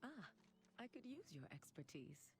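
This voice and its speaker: A woman's voice: mid-range voice, sing-song, unhurried. A woman voicing an inquisitive, courteous scholar who gives out quests in a video game. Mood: eager. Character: inquisitive female scholar quest-giver, eager for discoveries. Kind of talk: video game character voice acting. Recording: loud music behind. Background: game music.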